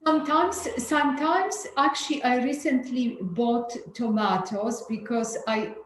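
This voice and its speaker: A woman's voice: on the high side, unhurried. A woman from Poland, speaking in a candid, hesitant way with frequent fillers and restarts, as a guest in a group talk over a video call. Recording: echoey room with faint ambient noise.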